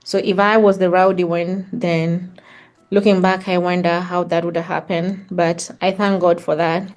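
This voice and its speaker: A woman's voice: on the low side, little pitch movement, normal speed, a little mellow. A middle-aged woman with a Kenyan accent, reflecting on her life as an interview guest. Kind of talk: reflective personal storytelling in conversation.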